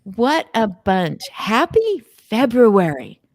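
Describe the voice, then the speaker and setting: A woman's voice: on the high side, very animated, slow pace, darkish tone, no echo. A woman hosting an unscripted group chat on a video call in a playful, exclamatory manner. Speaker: host.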